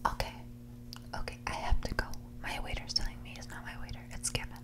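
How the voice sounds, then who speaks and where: A woman playing a snobby, dismissive rich young woman in a whispered and soft-spoken ASMR roleplay, condescending and ditzy, saying "like" often. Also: quick pace, natural voice. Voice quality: breathy whisper mixed with creaky soft speech. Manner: ditzy, condescending, hesitant delivery full of "like".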